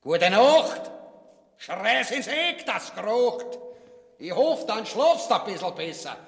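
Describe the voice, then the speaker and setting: A man's voice: high voice, very animated, slow pace, vocal fry, fairly bright tone, heavily acted. Gloating Viennese tyrant king, echoing hall. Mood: taunting, loud. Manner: mocking, pompous, drawling. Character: gloating, crude tyrant usurper king, pompous and mocking. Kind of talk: satirical radio play acting. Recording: echoey hall with long reverb.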